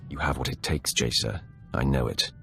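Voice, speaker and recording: Very deep, commanding Sith lord. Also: male voice, steady tone, vocal fry, a bit bright, faint music behind, heavily acted. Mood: solemn approval. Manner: authoritative, measured, formal. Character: commanding male Sith lord, stern, measured master. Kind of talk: dramatic video game voice acting.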